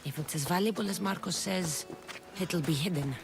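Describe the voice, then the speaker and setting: A woman's voice: on the low side, moderate pace, vocal fry. A woman playing a tough, sardonic young mercenary in a video game, dry, blunt and impatient. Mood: focused. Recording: game music under the voice. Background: game music.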